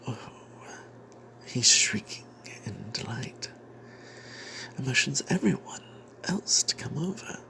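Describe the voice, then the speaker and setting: A man narrates a fantasy adventure in a breathy whisper, as if keeping a secret. His delivery is slow and broken by dramatic pauses, with a dry crackle of creak in the hushed voice. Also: medium pitch, animated. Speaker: narrator telling the tale in character as a cleric. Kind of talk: whispered ASMR storytelling of a tabletop fantasy adventure.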